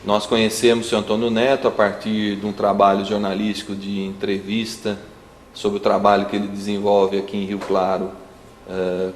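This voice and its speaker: Brazilian male spokesman, lowish monotone, formal and hesitant, roomy recording. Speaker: community broadcasting representative. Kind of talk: spoken testimonial. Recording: echoey room, faint noise.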